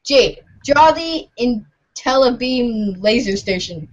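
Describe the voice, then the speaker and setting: A woman's voice: fairly high pitch, lively intonation, takes it slowly. A woman reading scripted narration for an amateur reader's theater, playful and mock-serious. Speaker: narrator, regular of the show. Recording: muffled lo-fi home recording.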